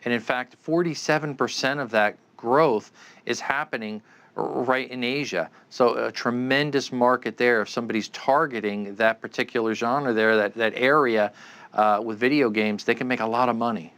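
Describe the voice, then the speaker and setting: A man's voice: mid-range voice, fairly even intonation, moderate pace, a bit bright, clean tone. A man giving unscripted expert answers in an interview, explaining things with frequent stammered repeats. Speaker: expert guest, commentator.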